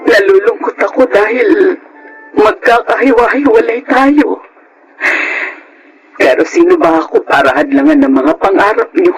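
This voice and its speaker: A woman playing a devout, gently resigned older mother, earnest and sorrowful in a Filipino radio drama. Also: on the high side, natural voice, rough recording. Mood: tender, sad.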